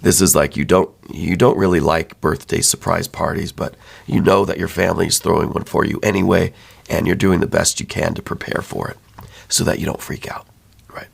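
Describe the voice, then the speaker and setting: A man with a hoarse voice, worn down by laryngitis, giving a soft, thoughtful ASMR tarot reading. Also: low-pitched, fairly even intonation, brisk. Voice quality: hoarse, breaking into whispers. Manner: soft, thoughtful, with many fillers and repeats. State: losing his voice from laryngitis. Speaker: tarot reader.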